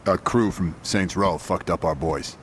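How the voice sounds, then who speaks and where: A man's voice: sits low, measured pace, slight background noise. An actor playing a brash, loyal young male gang lieutenant in a video game, talking in blunt street-casual style. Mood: insistent. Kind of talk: video game cutscene acting.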